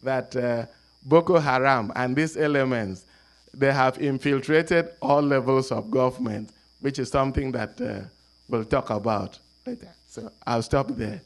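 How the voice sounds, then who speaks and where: A man speaking at an academic panel, measured and hesitant with frequent restarts. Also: mid-range voice, low-quality recording. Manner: measured, many fillers and restarts. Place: conference room.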